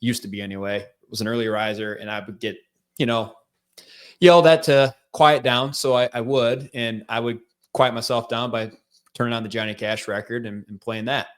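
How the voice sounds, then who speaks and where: A man with a Michigan accent, a guest telling his life story in a relaxed, rambling way with lots of fillers. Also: mid-range voice, normal speed. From central Michigan. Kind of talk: casual interview storytelling.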